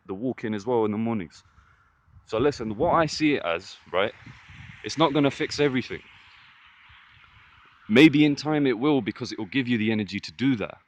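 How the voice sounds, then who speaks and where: A man with a fairly deep voice talking to the camera in a casual vlog, earnest and encouraging, often saying "listen" and "trust me". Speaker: vlogger. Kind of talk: casual motivational vlog monologue.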